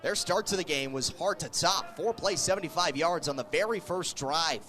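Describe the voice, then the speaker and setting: High-voiced man calling football on radio. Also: normal speed. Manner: excited, energetic calling of the action. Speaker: play-by-play sports radio announcer. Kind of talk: live football radio commentary. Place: live at a football game.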